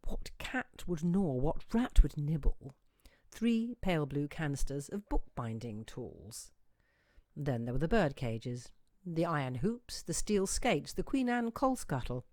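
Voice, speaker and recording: A woman reading a short story aloud as an expressive, musing narrator with dramatic emphasis. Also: low voice, measured pace, no room sound. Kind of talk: expressive literary audiobook reading.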